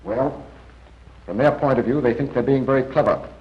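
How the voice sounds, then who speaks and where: A man playing a seasoned, assured intelligence briefing officer in a 1940s training film, giving measured, plain-spoken advice. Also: medium pitch, natural voice. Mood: assured, advising. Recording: muffled 1940s film soundtrack.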